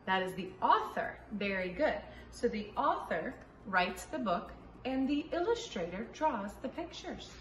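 A middle-aged woman preschool teacher reading and teaching to young children in a clearly enunciated, sing-song way, recorded in a room from a few feet away. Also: fairly high pitch, sing-song, unhurried, few pauses, reverberant, a little hiss or hum. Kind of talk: children's story reading and letter lesson. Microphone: camera a few feet away.

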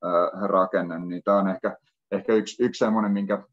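A man speaks over a video call in a low voice. He is halting and leans on fillers, and the audio is dull and lo-fi. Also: steady tone. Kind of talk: casual discussion.

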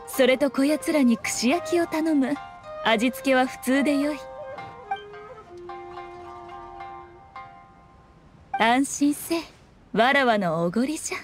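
Fox shrine maiden, high voice, lofty archaic phrasing, self-assured, teasing, game dialogue, faint music. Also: female voice, expressive. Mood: composed, lofty. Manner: archaic, lofty, unhurried speech with teasing composure. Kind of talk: Japanese game voice acting.

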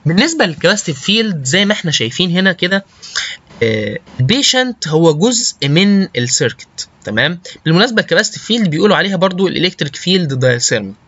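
Egyptian male lecturer, slightly high voice, halting informal explanations, dry lo-fi recording. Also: big pitch swings, a bit bright, breathy edge, no echo. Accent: Egyptian Arabic. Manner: halting, often checks understanding with short tag questions. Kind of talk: informal slide lecture with technical English terms.

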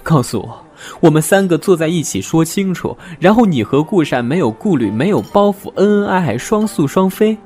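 A man's voice: fairly high pitch, lively intonation, normal speed, hardly any pauses, a little mellow, soft background music, natural voice. An actor playing a hurt, sarcastic young man betrayed in love, in an audio drama. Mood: bitter, sarcastic.

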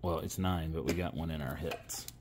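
Male hobby host, low register, conversational commentary, faint noise in the background. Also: fairly even intonation, normal speed. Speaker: host of a card-opening channel. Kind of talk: casual commentary while opening trading cards.

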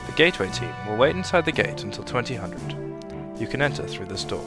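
A man's voice: lowish voice, very animated, a little fast, over music. A man voicing a formal middle-aged army general in a game dub, giving clipped, authoritative briefings. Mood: commanding.

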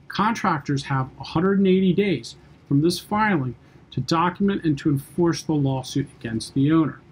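A man in his forties reads a formal legal explainer straight to camera from his office desk. His voice sits a little high and has a husky edge. The delivery is steady and even. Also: low-quality recording. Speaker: lawyer. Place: office with window, indoors.

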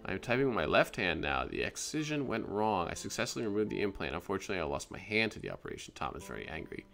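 A man's voice: low-pitched, sing-song, fast, gravelly, a bit bright, faint music behind, exaggerated voice. A man voicing a troubled male Russian space station scientist with a clumsy put-on Russian accent, reading his logs aloud. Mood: grim.

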